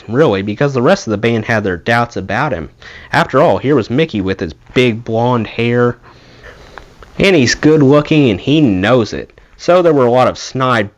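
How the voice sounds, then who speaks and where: Animated man reading a memoir aloud. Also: mid-range voice, dull audio. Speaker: audiobook reader, channel host.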